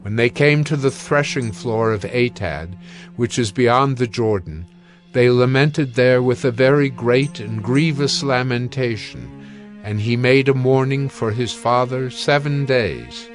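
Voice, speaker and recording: A man delivers solemn scripted Bible narration in a medium register. His reading is measured and formal. Gentle music runs quietly behind the voice. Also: medium pitch.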